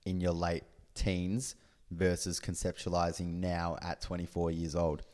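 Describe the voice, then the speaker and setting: A man's voice: low-pitched, level tone, a little slow, darkish tone. A man in his twenties co-hosting a podcast, friendly and easygoing, steering the casual chat. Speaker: podcast co-host.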